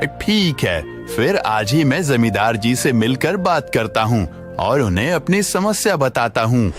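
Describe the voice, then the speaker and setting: Clear music sits behind a man playing an honest village worker in a cartoon moral tale. His mid-pitched voice moves up and down expressively, always polite and deferential. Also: sing-song, measured pace. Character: humble, honest, hardworking male village farmhand. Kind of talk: Hindi cartoon moral-story acting.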